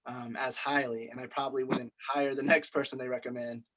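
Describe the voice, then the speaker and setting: A man's voice: mid-range voice, flat delivery, a little fast, fairly bright tone, rough recording. A man in a casual group discussion, talking in a conversational, hedging way. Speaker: media studies student.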